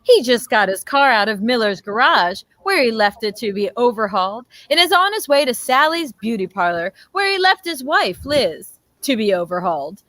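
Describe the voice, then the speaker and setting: A woman's voice: on the high side, sing-song, moderate pace, few pauses, fairly bright tone, no room sound. A woman announcing and narrating an amateur old-time radio show in a classic announcer manner.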